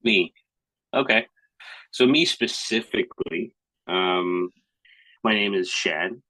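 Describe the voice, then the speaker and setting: A man's voice: on the low side, slow pace, sharp tone, no echo, low-quality recording. A man in his thirties from Hong Kong, co-hosting a casual podcast chat in a rambling, hesitant, thoughtful way. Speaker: podcast co-host.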